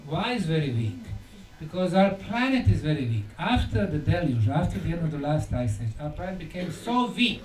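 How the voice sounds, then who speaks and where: Guy giving a talk, really animated high voice, dramatic pauses, roomy far off mic. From Bosnia. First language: Bosnian. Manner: short, deliberate phrases with dramatic pauses and rhetorical questions. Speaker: lecturer and researcher. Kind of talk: conference lecture. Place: indoor lecture room at a conference. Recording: echoey room, distant mic, faint noise.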